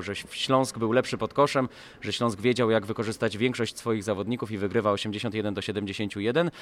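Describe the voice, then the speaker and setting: A man's voice: mid-range voice, slight background noise. A man hosting a sports podcast, talking through games and putting questions to a guest. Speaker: podcast host. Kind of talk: sports talk and interviewing.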